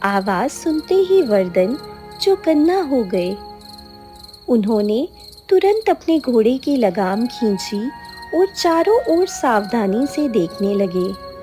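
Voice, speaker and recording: A woman's voice: fairly high pitch, sing-song, slow pace, dark tone, faint music behind. A woman narrator reading a scripted dramatic fairy tale as a storyteller.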